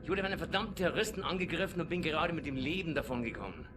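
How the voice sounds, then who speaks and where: A man's voice: on the high side, rapid-fire, noisy background, lightly acted. A man playing a shaken, cursing German transport pilot in a video game. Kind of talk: video game character acting.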